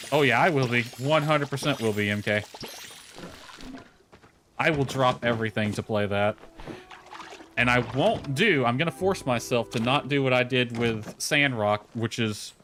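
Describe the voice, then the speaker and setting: A man's voice: medium pitch, fairly bright tone, slight background noise. A man giving casual, rambling livestream commentary as he plays a game, thinking aloud as he goes. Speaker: game streamer. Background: background music.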